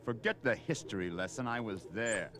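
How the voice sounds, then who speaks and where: A man playing a gruff veteran henchman in a cartoon, curt, impatient and menacing. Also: fairly low pitch, expressive, moderate pace, a crowd in the background, natural voice. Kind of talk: animated villain acting.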